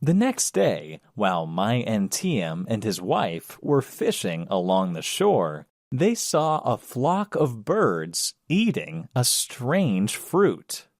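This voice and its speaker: A man narrating a children's story in a measured, expressive storytelling manner. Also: fairly low pitch, dry recording, studio quality.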